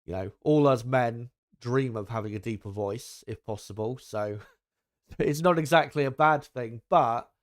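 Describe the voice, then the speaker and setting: A young man hosting a live stream, talking casually with lots of ums and asides to his viewers, his voice deeper than it used to be. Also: unhurried. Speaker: livestream host. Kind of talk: casual live commentary chat.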